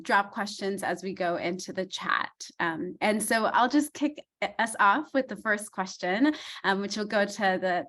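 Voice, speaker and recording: A woman's voice: mid-range voice, a bit bright. A woman in her thirties, an obstetrician, hosting an online panel in a casual, encouraging way with frequent ums.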